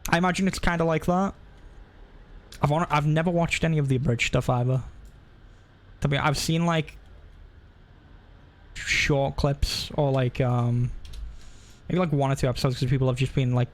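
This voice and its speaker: Rambling male game streamer, mid-pitched. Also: moderate pace, long pauses. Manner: rambling, self-interrupting. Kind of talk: casual live-stream chat.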